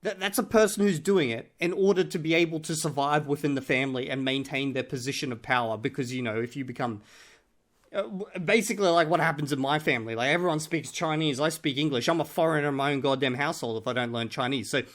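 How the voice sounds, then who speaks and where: A man's voice: fairly high pitch, fast talker, fairly bright tone. A man giving casual, sweary reaction commentary in a blunt, sarcastic manner. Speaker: reaction video host.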